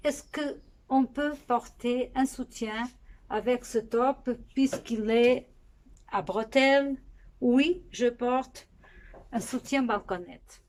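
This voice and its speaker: Portuguese-accented woman hosting a knitting podcast, slow and easygoing, with frequent restarts. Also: a little high. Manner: unhurried, with frequent pauses and restarts. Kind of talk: casual spoken podcast monologue.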